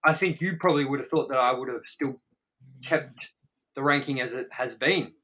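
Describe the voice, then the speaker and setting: A man's voice: mid-range voice, normal speed, bright, forceful tone, rough recording. A man co-hosting a casual movie review chat, rambling emphatically and swearing freely. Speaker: review show co-host.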